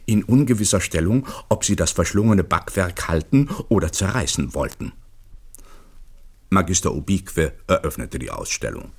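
A man's voice: low voice, sing-song, normal speed, husky. A man narrating a literary radio drama from a script.

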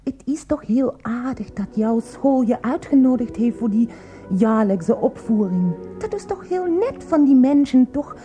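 An actress playing a fussy, affectionate, naive woman with a German accent in a comic radio play, coaxing and gently reproachful. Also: fairly high pitch, lively intonation, measured pace, faint music behind. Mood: placating.

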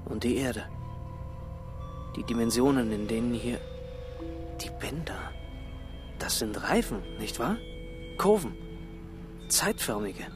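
Inquisitive explorer, intense and halting, mid-pitched male voice, radio drama, clear music underneath. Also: animated. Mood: intense realization. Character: male explorer, awed and probing, piecing mysteries together. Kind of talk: radio play acting, dramatic dialogue.

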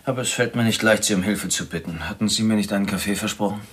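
An actor playing a young, broke, hard-drinking drifter in a radio crime drama, wry and self-deprecating. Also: male voice, lowish voice, fast, fairly bright tone, slightly rough. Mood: groggy, wary. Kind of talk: 1990s German radio crime drama acting.

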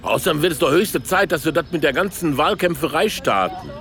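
A man's voice: on the high side, lively intonation, a little fast, fairly bright tone. A man playing a blunt, scheming older political candidate in a satirical radio play, talking in a brusque colloquial way. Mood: breezy, bargaining. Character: blunt, scheming ageing male would-be chancellor candidate.

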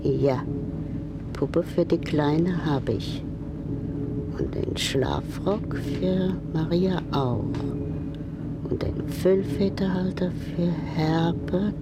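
An actress playing a good-natured plump woman in her fifties, murmuring her thoughts slowly in a radio drama. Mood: pensive, contented. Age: 50s. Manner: slow, pensive, murmuring to herself. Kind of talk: radio drama inner monologue. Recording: noisy radio play, rumbling train ambience.

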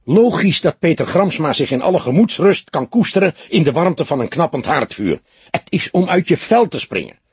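A hot-headed young police detective played by a male reader for an audiobook. He argues with stubborn, indignant emphasis in a raised, swooping pitch, with a very husky, breathy edge. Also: big pitch swings, normal speed, a little mellow, no room sound, heavily acted. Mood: furious. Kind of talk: audiobook character voice.

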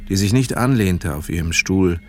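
A man's voice: low voice, lively intonation, moderate pace, a little mellow, soft background music. An actor narrating a radio drama as a grown son soberly recalling his parents. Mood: somber, reflective.

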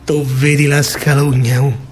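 A man's voice: mid-range voice, little pitch movement, unhurried, a little hiss or hum. A man with a Roman accent, a stage actor telling a story in dramatic, rhythmic dialect verse. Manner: theatrical, rhythmic delivery.

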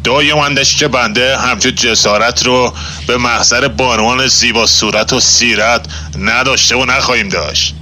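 Blustering old-Tehran tough guy, piercingly bright. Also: mid-range voice, a little hiss or hum. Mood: flustered, apologetic. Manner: grumbling colloquial street talk, stammers when flustered. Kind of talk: nostalgic 1960s-set radio drama acting.

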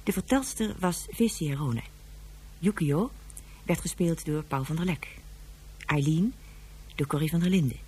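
A woman's voice: low voice, unhurried, pauses often, darkish tone, a little hiss or hum. A woman announcer reading out scripted cast credits for a radio drama.

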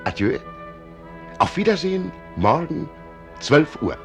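An actor playing an eccentric older archivist in a German radio play, blustering and slyly amused, with a raspy, chuckling delivery. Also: male voice, fairly high pitch, very animated, faint music behind, full character voice. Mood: gruff, conspiratorial. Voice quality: raspy, rough-edged. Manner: chuckling, exclamatory, theatrically deliberate.

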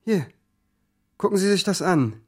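A man's voice: high-pitched, animated, a little slow, faint music behind. An actor playing a brash young male hacker with a cocky, colloquial manner in a radio play. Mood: focused, excited. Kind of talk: naturalistic radio play acting.

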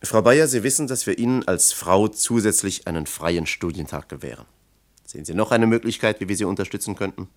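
Low-voiced, measured actor as earnest male official. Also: animated, close to an everyday voice. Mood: explaining, conciliatory. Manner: measured, formal, probing. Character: earnest male official, father of three, cautiously discouraging. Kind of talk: German radio play acting.

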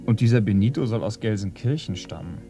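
An actor playing an earnest, pedantic police assistant in a radio crime play, precise and deadpan as he lists facts. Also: lowish voice, brisk, faint music behind. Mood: methodical.

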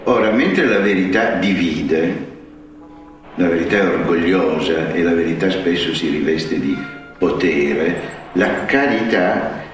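A man with a deep voice explains ideas in a deliberate, scholarly way, often pausing to find his words. He is recorded far from the microphone in a strongly reverberant space, with music in the background. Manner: hesitant, deliberate, scholarly phrasing. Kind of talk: reflective explanatory talk on religion. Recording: very echoey, distant mic, music behind.

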